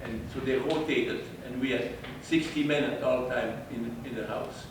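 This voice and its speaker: A man's voice: medium pitch, steady tone, normal speed. An older man from Belgium, with a Dutch-French Belgian accent, telling his wartime eyewitness story to an audience with emphatic, wry humor. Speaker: eyewitness speaker. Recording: distant mic, echoey room, audible noise.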